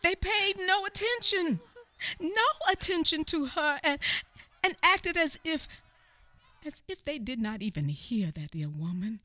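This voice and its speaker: A woman playing a grave, slow-spoken memoirist. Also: high-pitched, big pitch swings, heavily acted. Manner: emphatic, with hushed asides and knowing murmurs. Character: woman recalling her youth, grave and wry. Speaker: narrator of a radio play. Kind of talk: radio theater storytelling.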